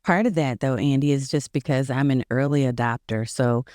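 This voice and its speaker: A woman's voice: extremely low pitch, normal speed, mellow, no room sound, clean studio sound. A woman, a video marketing coach, speaking as a guest on a podcast in an upbeat, casual way, laughing easily. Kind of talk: casual interview.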